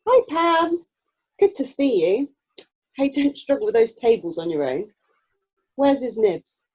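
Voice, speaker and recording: An amateur actress playing a helpful, matter-of-fact single mum in a radio play. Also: female voice, fairly high pitch, sing-song, a little slow, a little mellow, husky, heavily acted. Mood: brisk, helpful. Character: helpful, practical single mum, drama club member. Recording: muffled, lo-fi video call audio.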